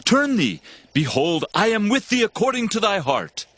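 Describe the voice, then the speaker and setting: An actor playing an eager, loyal young armor-bearer in a dramatized audio Bible. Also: male voice, high voice, big pitch swings, normal speed, fairly bright tone, no room sound, exaggerated voice. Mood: devoted, urgent.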